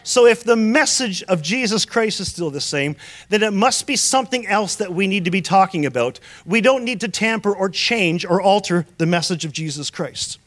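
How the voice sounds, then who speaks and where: High-voiced Canadian preacher man. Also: animated, normal speed, fairly bright tone. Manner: rhetorical questions, storytelling preacher. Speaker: pastor. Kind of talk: sermon.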